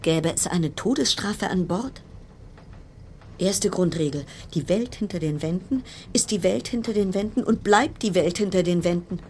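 An actress playing an uneasy, sardonic cruise ship passenger, narrating a German radio play in a wry, insistent way. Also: female voice, fairly low pitch, moderate pace, a little mellow, lightly acted. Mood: brooding. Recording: clear background noise and ambience.

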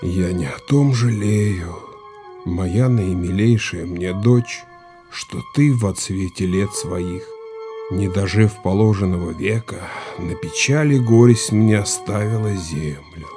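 An actor playing a grieving, stern old Cossack chief in an audio drama, speaking with solemn, mournful authority. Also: male voice, lowish voice, a little mellow. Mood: mournful lament. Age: older.

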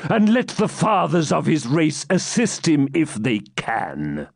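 A man's voice: fairly high pitch, wildly swooping pitch, vocal fry, no echo, clean studio sound, voice pushed up, heavily acted. A man doing a stern, cruel baron. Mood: menacing. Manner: stern, slow, menacing. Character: cruel, sarcastic male Norman baron.

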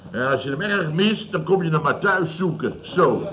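A boastful, boisterous boss played by a man in a radio comedy, blustering and repeating himself. His voice sits fairly high and swings widely in pitch. It is a dull old recording with an audience present. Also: lively intonation, moderate pace, background noise, close to an everyday voice. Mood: smug. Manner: blustering, repetitive storyteller acting out quotes. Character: boastful, boisterous male boss of a building firm.

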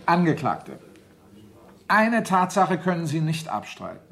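An actor playing a stern, sardonic general who presides as military judge in a German radio play, commanding and formal. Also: male voice, fairly high pitch, huge pitch swings, unhurried, gravelly, a bit bright, a little hiss or hum, exaggerated voice. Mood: interrogating.

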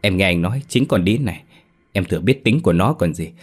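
A man's voice: lowish voice, moderate pace, breathy edge. A man voicing a cowardly, guilty husband who trembles and pleads for mercy in a horror story. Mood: accusing.

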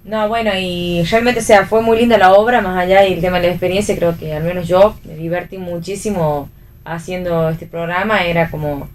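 A woman making casual, halting, informal remarks as a radio guest, recorded at a distance from the mic. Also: fairly low pitch, steady tone. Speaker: actor guest. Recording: distant mic, faint background noise.

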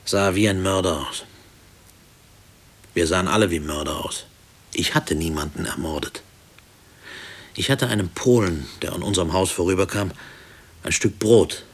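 A brooding middle-aged man with a very low, rough-edged voice. He gives a deliberate, matter-of-fact inner monologue in a radio drama. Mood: sombre, bitter. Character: brooding married man haunted by wartime prison. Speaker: lead actor and narrator in a radio play. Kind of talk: introspective first-person radio drama monologue.

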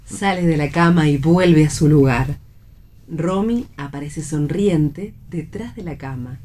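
A woman narrates a radio play, reading out stage directions. Her voice is very low and mellow. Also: a little slow, a little hiss or hum. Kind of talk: scripted narration for read radio theatre.

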